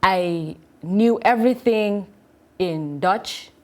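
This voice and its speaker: A woman's voice: medium pitch, slow, a little mellow. A woman who grew up partly in Nigeria, a studio panel guest, recounting her own schooling with repeated emphasis. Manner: personal storytelling, repeats "I knew".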